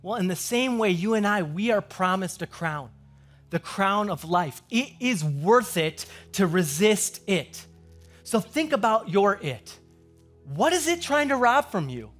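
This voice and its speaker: An American man in his forties preaching a conversational sermon as a pastor, animated and emphatic in his delivery. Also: high voice, measured pace, faint music behind. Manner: animated, emphatic, swings between hushed asides and loud emphasis. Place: large church stage, indoors.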